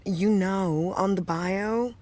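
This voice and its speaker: A woman's voice: mid-range voice, takes it slowly, a little mellow, dry recording, lightly acted. A woman playing a jaded, self-doubting American television actress in an audio drama, talking casually and wryly with trailing thoughts. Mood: anxious, wry. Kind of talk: naturalistic audio drama dialogue.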